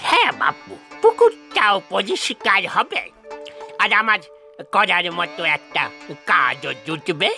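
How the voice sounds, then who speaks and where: A woman as a shrill, wildly swooping old village father. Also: huge pitch swings, slow pace, creaky, bright, forceful tone, exaggerated voice. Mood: approving, confiding. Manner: bossy, scolding, exclamatory. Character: stubborn, crotchety old village father, excitable and scheming. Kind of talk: animated cartoon dialogue. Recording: music behind the voice.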